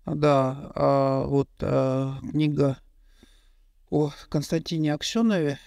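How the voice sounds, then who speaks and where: A middle-aged interviewer with a gravelly yet resonant voice, pitched a little high, gropes for his words with frequent fillers. The sound is dry and close, taken from a studio mic on a boom. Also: fairly even intonation, slow pace, darkish tone, no room sound. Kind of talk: interview questions. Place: bright indoor room, interview set.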